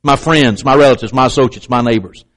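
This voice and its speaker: A man preaching a sermon with emphatic, repetitive pulpit delivery and pointed asides to the congregation. He has a slight stutter and sometimes catches on a word. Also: fast. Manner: slight stutter, clamps up on words; acts out doubters in a raised pitch.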